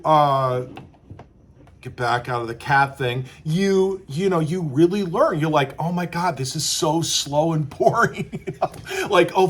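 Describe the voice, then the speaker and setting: A man talking casually to camera as a screenwriting coach, conversational, acting out little quoted asides as he explains. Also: a little high. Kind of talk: casual advice talk to camera.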